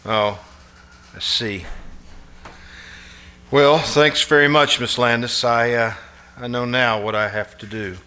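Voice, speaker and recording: A man playing an anxious, haunted fellow in live old-time radio suspense style, halting, pleading and earnest. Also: medium pitch, fairly even intonation, bright, forceful tone. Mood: tense, coaxing. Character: anxious, haunted man obsessed with a shadowy vision.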